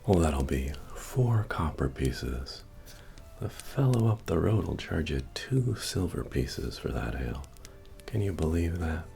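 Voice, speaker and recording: A man playing a very deep-voiced, slow tavern keeper. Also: big pitch swings, heavily acted. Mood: genial, wry. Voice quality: deep, gravelly, breathy murmur. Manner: unhurried, folksy, gently joking, hesitant asides. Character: friendly, wry medieval fantasy tavern keeper. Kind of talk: soft-spoken ASMR roleplay. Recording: soft music playing behind the voice. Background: bard-style music.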